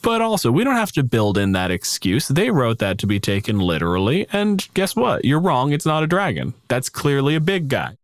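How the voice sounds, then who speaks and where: A man's voice: mid-range voice, huge pitch swings, moderate pace, few pauses, no echo, clean studio sound. A man talking casually and emphatically about a tabletop game, opinionated and freely profane. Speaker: podcast host.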